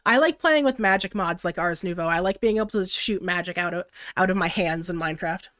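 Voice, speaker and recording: A woman's voice: fairly low pitch, fairly quick, fairly bright tone. A young woman with a stuffed-up nose from the flu, casually explaining her digital art process on a live stream in a chatty, giggly way. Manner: chatty and giggly, often reading chat aloud.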